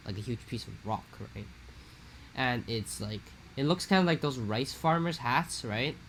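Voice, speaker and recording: A man's voice: medium pitch, animated, moderate pace, fairly bright tone, a little hiss or hum, poor audio. A young man co-hosting a casual podcast, talking in a rambling, profane, slangy way while losing his voice. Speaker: podcast co-host. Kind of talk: casual chat.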